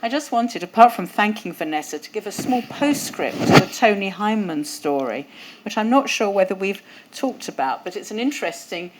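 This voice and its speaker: A woman's voice: medium pitch, expressive, normal speed, heavy background noise. A woman hosting a museum event and introducing speakers, talking conversationally with some fillers into a lapel mic. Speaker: event host. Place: event hall.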